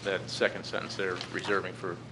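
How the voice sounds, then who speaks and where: A middle-aged American man delivers a formal briefing in a measured, halting way. His voice sits low and barely moves in pitch. There is clear noise over the meeting-room recording. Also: flat delivery. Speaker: presenter to a city council. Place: council chamber, standing at a podium. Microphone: podium gooseneck microphone.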